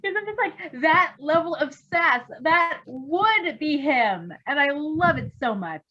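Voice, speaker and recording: A woman's voice: high-pitched, lively intonation, takes it slowly, lo-fi sound. A woman chatting casually as a co-host, gushing and swearing freely. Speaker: co-host reacting to a story reading. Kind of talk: casual chat.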